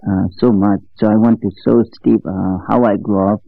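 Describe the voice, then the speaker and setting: A man from Nepal with a Nepali accent, recalling his life in halting English full of fillers for a documentary interview. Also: lowish voice, level tone, vocal fry. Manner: halting non-native English with many fillers. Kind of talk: interview recollection. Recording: tinny lo-fi sound with faint music.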